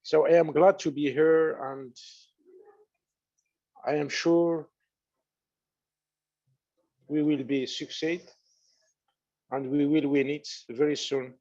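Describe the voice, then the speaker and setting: A man from Morocco with a Moroccan accent, speaking in halting, non-native phrasing as a panelist on a video call webinar. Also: a little high, flat delivery, dark tone, lo-fi sound. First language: Arabic. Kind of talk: casual video call chat.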